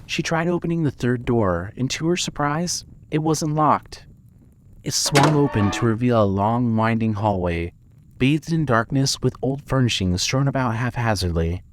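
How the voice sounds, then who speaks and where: A man's voice: mid-range voice, lively intonation, moderate pace. A man narrating a scripted dramatic story for a fiction podcast.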